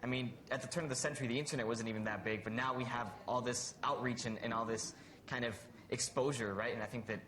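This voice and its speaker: A man's voice: mid-range voice, fairly even intonation, fast talker, a bit bright. A young man speaking conversationally on a discussion panel, thoughtful and often checking for agreement as he gives his opinion. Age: young adult. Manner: thoughtful, frequently seeks agreement. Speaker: youth activist panelist. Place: live conference panel.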